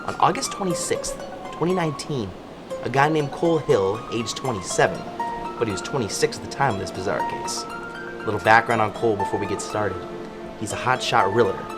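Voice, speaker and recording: An actor playing a chatty, wry true-crime podcast host, telling a story in a theatrical, folksy way. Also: male voice, medium pitch, lightly acted.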